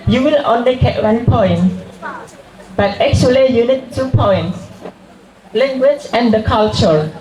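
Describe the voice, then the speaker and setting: A woman's voice: a little high, animated, measured pace, pauses often, reverberant, crowd noise, low-quality recording. A woman who grew up speaking English as a guest speaker at a school, explaining carefully with repeated examples. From Turkey. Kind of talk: school language talk. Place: school hall.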